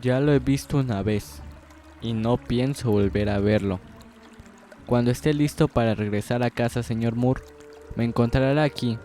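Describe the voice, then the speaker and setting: A man's voice: mid-range voice, normal speed, warm tone, natural voice. A man voicing a surly, wary boy who drives a coach in an audiobook, with music behind him. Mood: stubborn. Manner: sullen, stubborn.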